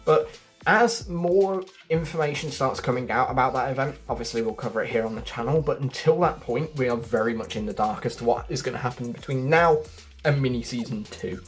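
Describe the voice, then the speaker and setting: A man's voice: mid-range voice, a little fast. A man giving casual, chatty gaming commentary, addressing his viewers directly as a video host. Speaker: video game news YouTuber. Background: background music.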